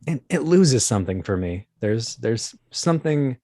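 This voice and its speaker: A man's voice: medium pitch, very animated, moderate pace, dark tone, no echo, studio quality. A man chatting casually as an interview guest, telling anecdotes in a rambling, wry, self-deprecating way. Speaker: interview guest, voice actor and performer.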